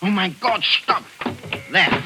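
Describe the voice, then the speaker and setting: A man's voice: high-pitched, huge pitch swings, slow, background noise, heavily acted. An actor playing a cornered male former police commander, stammering and begging for his life, in a 1940s radio drama. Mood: pleading. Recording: old radio broadcast recording.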